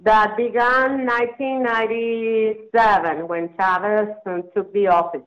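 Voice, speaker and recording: A woman from Venezuela with a Venezuelan Spanish accent, a guest answering in a hesitant way with pauses and fillers. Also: mid-range voice, steady tone, muffled recording.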